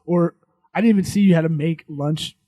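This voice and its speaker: Blunt, swearing podcast host, mellow voice. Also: male voice, a little high, fairly quick, no echo. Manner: blunt, profane, joking, says he has a loud voice. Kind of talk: casual podcast chat. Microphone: studio microphone.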